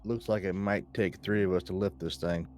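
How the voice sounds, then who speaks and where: A man's voice: low-pitched, fairly even intonation, normal speed, darkish tone, slight background noise. A man taking part in an online tabletop game, chatting casually as one of the players.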